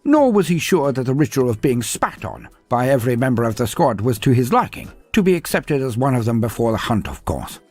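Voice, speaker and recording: Theatrical male storyteller, very varied pitch. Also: big pitch swings, brisk, hardly any pauses, a little mellow, breathy edge, dry recording, soft background music. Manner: theatrical, florid and whimsical. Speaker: narrator of fantasy lore stories. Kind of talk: dramatic scripted storytelling.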